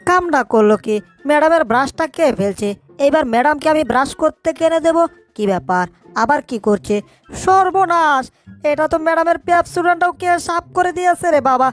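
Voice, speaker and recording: A high cartoon voice for a small, clever male mouse performed by a woman. He frets aloud and exclaims anxiously as he schemes, with faint music underneath. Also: expressive, brisk. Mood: dismayed. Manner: exclamatory, fretting to himself. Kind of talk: Bengali cartoon dub acting.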